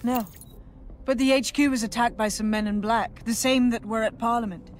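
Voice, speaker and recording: A woman's voice: fairly high pitch, fairly even intonation, normal speed, soft background music, lightly acted. A woman playing a battle-weary, determined resistance leader in a video game, earnest and grave. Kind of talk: video game character acting.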